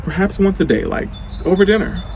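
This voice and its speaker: A man's voice: high voice, very animated, fairly quick, creaky, darkish tone, a little hiss or hum, exaggerated voice. A man playing an earnest, often exasperated life coach in a comic audio drama.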